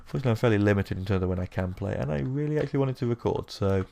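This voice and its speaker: Croaky low-voiced man narrating gameplay. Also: expressive, racing, warm tone. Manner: rambling, self-deprecating, with many fillers. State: croaky from a sore throat. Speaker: gaming YouTuber. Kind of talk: casual let's-play commentary.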